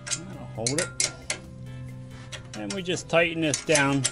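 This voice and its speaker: A man giving a casual step-by-step how-to while working on a boat trailer on his driveway, often turning away from a low camera. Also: slow, gravelly, over music. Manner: plain, practical, step-by-step explaining while working. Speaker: DIY vlogger. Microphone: camera mounted low on the ground, voice often off-mic.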